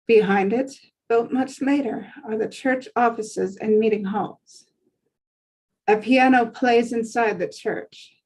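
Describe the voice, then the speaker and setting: A woman's voice: a little high, slow pace, a little mellow, slightly rough, low-quality recording. A woman reading screenplay scene descriptions aloud as a narrator at a table read.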